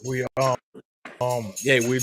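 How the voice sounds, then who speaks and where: A man's voice: medium pitch, level tone, slow pace, fairly bright tone, a little hiss or hum. A man hosting a casual interview over a video call from home, swearing freely, apologizing, and joking around. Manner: profane, apologetic, loose and joking. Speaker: host. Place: home setup in Florida.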